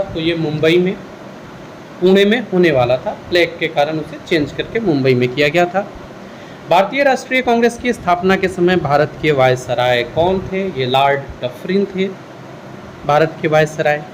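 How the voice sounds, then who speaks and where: A man with a high-pitched voice teaches in a question-and-answer style. He explains each answer and drops in English words as he goes. A steady air-conditioning hiss sits faintly behind him. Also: rough recording. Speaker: exam-prep history teacher.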